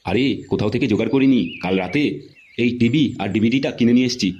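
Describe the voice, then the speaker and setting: A man's voice: mid-range voice, fast, natural voice. A man voicing a stubborn, scolding cartoon father who is bossy and combative, with a West Bengali accent. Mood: defensive. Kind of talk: comedy cartoon dialogue. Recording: dull lo-fi sound, faint noise.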